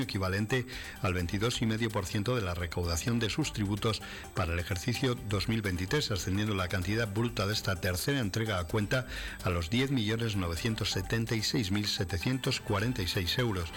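A man reading a local radio news bulletin in a steady, formal delivery. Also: lowish voice. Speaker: radio newsreader.

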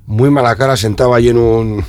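A man's voice: mid-range voice, fairly even intonation, a little fast, a little mellow. A man hosting a radio sports segment, drawing out his guest with playful, teasing questions. His voice sits in a plain middle register. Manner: jokey, teasing, prompting questions. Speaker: radio host. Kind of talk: radio interview.

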